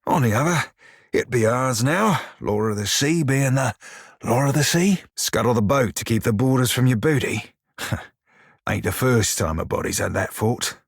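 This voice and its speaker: An actor voicing a short, crude, wry pirate shiphunter for a video game, with a husky, rough-edged voice and a pirate dialect full of salty sailor slang. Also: male voice, medium pitch, lively intonation, normal speed, dry recording, studio quality. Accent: pirate-style dialect. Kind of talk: video game character dialogue and barks.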